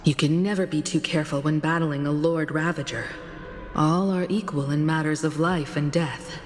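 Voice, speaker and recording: Woman doing a low calm courteous starship leader lady, formal, game dialogue w faint music behind. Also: long reverb tail, lightly acted. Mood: composed. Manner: measured, formal, solemn. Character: composed, courteous adult woman leading a starship crew. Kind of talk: video game voice acting.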